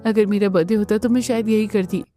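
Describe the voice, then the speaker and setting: A woman voicing a gentle, timid young daughter-in-law in a family cartoon, earnest and hesitant. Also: mid-range voice, steady tone, fast, faint music behind, lightly acted. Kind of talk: Hindi family cartoon dubbing.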